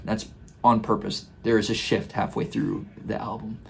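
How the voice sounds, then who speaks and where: A man in his twenties talking casually and reflectively, explaining his work in a halting way, recorded in a small home room. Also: fairly low pitch, flat delivery, vocal fry, a little hiss or hum. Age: 20s. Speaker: musician and host breaking down own song. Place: small home studio bedroom indoors.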